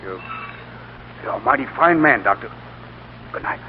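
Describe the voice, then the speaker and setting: A man's voice: mid-range voice, expressive, moderate pace, lightly acted. A man acting a humble, grateful working man in a 1940s radio drama, earnest and folksy in his plain speech. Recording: dull, noisy 1940s radio recording.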